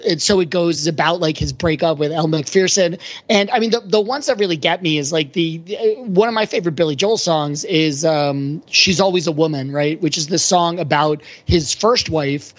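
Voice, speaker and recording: A man's voice: on the high side, normal speed, muffled recording. A man speaking as a podcast panelist, casually explaining pop culture and checking in with "like" and "right?".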